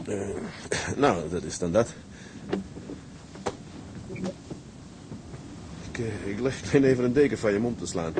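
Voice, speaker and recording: An actor playing a gruff but kindly seaman in a radio drama, talking in a homely, muttering way. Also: on the low side, noisy background, natural voice. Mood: relieved, caring. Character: gruff, kindly seaman tending a rescued castaway.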